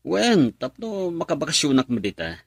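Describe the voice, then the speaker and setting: A man's voice: a little high, huge pitch swings, normal speed, creaky, breathy edge, no echo, studio quality, heavily acted. An actor playing a lovesick young man, a devoted son, in a melodramatic radio drama. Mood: surprised, friendly.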